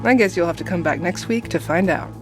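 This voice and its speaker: An actress playing a meddling, dramatic sister who narrates a romcom audio drama in a wry, confiding, theatrical way. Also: female voice, on the low side, fast, lightly acted. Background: guitar music.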